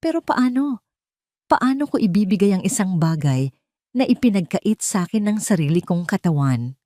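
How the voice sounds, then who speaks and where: A woman's voice: mid-range voice, lively intonation, moderate pace, mellow, no room sound, studio quality, natural voice. A woman with a Filipino accent voicing a scheming, bitter, obsessive woman in love in an audio drama, emphatic and theatrical. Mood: anguished.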